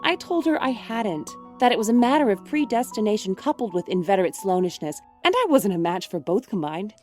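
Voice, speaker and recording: An actress playing a wry, chatty young college student in an audio drama, telling things with mock solemnity. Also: female voice, mid-range voice, brisk, faint music behind, natural voice. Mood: wry exasperation. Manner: mock-solemn, animated storytelling.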